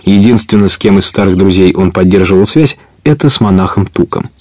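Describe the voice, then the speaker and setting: A man's voice: low voice, fairly quick, darkish tone, no room sound. A man narrating an adventure audio play in a scripted storytelling style.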